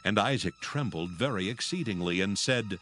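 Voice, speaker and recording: Low, expressive male scripture narrator. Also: a little hiss or hum. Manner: measured, solemn storytelling.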